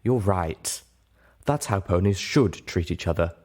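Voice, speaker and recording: Male narrator as a female unicorn, low register, composed, articulate, kindly, firm, audiobook dialogue. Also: expressive, a little slow, a little mellow. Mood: sincere, reassuring.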